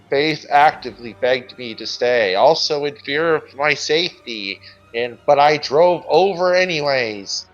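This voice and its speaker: Man putting on an old reader's voice, dull lo-fi sound. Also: on the high side. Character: old person reading aloud a written family-drama account. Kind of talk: mock-dramatic reading of a written statement. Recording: dull lo-fi sound with music behind.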